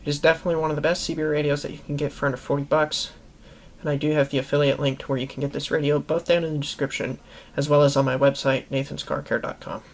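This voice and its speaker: A man's voice: a little high, little pitch movement, quick pace, slight background noise. A man hosting a how-to video, narrating an instructional product review in a methodical, plainly explanatory way. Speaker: host of a how-to video series.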